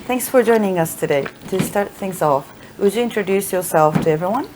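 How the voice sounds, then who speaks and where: Woman interviewer: mid-range voice, scripted narration into brisk questions, noisy recording. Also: medium pitch. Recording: noisy background.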